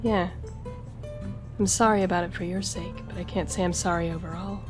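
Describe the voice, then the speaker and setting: A woman's voice: lowish voice, steady tone, brisk, close to an everyday voice. A woman playing a charming, flirtatious gambler and stowaway in an intimate audio roleplay, teasing and conversational as she speaks to the listener. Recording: music behind the voice.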